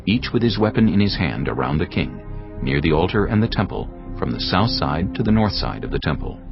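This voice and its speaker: A man narrating scripture in a measured, solemn reading. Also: deep voice, muffled recording.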